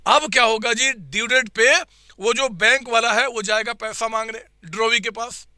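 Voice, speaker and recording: A man teaching accounts in a live online class, with a slightly hoarse throat, quizzing his students and answering for them in a coaxing way. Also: extremely high pitch, moderate pace, sharp tone, no room sound, studio quality.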